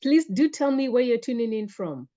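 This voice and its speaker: A woman's voice: fairly high pitch, lively intonation, normal speed, dark tone. Lady running a zoom class, upbeat, keeps repeating herself, bit muffled. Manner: upbeat, greets viewers by name, repeats phrases. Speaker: webinar host and fitness coach. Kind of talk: live webinar welcome. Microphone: computer mic on a video call.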